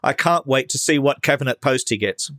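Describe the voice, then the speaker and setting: A man on a casual political talk panel who talks quickly. He keeps dropping sardonic one-liners into the conversation. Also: mid-range voice, a bit bright.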